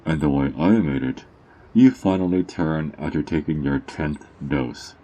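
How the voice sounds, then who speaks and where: A man playing a sly, mocking underground drug dealer in an ASMR roleplay, teasing and smug, with theatrical drawn-out words. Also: very deep voice, mellow. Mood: menacing, gloating. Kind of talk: ASMR character roleplay monologue.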